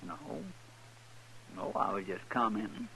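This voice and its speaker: An actor playing an amiable, deferential marshal's helper in a 1950s radio western, with a homespun rural American accent and drawl. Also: male voice, lowish voice, fairly even intonation, measured pace. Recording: noisy, crackly old radio recording.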